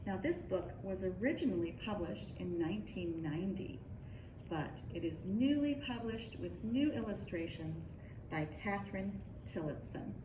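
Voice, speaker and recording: A woman reads a children's picture book aloud in an expressive, deliberate storytelling manner, leaning into rhythmic phrases. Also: mid-range voice, background noise. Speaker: children's librarian and story reader.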